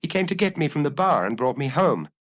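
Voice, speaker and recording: A man's voice: on the high side, sing-song, brisk, a bit bright, dry recording, studio quality, pitched above the performer's own voice. A man voicing a guarded young Parisian waitress who gives short, matter-of-fact answers in an audiobook. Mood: guarded, plain. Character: young working-class Parisian waitress, plain-spoken and guarded.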